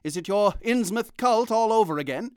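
A man's voice: high voice, animated, clear, resonant tone, no echo, clean studio sound. A man playing a grave, formal news anchorman in a vintage-style radio drama. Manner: grave, formal, deliberate announcing. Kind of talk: vintage radio newscast acting.

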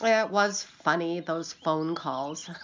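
A woman's voice: lowish voice, slow, a bit bright, highs cut off, slight background noise. An actress playing a practical, skeptical farm wife in a radio play, folksy and disapproving toward her husband. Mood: amused reminiscing.

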